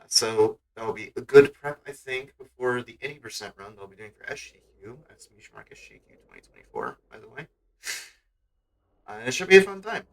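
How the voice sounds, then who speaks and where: Bright-voiced man chatting on stream. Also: on the low side, poor audio. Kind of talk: casual livestream gaming chat.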